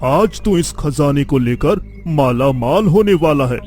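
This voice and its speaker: A man's voice: a little high, expressive, slow, a little mellow, faint music behind. A young man playing a determined, boastful eldest son from a village in a cartoon story, with an earnest, declamatory delivery. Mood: bold, defiant. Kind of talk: dramatic Hindi cartoon story dialogue.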